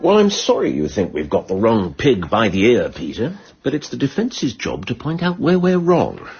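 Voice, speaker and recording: Husky, wry English police detective. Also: male voice, mid-range voice, huge pitch swings, moderate pace, a little mellow, lo-fi sound, faint music behind, exaggerated voice. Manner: wry, mildly sarcastic. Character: dry, decent, long-suffering English police detective, old friend. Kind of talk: radio drama acting.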